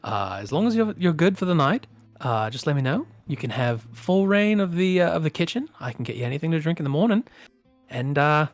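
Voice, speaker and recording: A man voicing a hospitable, courteous innkeeper woman who is a little hesitant but gently insistent, in a tabletop game. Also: on the high side, sing-song, brisk, no room sound, faint music behind, voice pushed up. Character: hospitable young innkeeper woman, brisk and polite.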